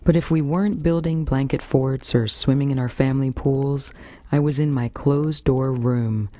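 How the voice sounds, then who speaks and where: A woman's voice: rumbling low, steady tone, normal speed, dark tone, no room sound. A woman with an American accent, hosting a variety radio show with scripted first-person storytelling. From Florida, United States.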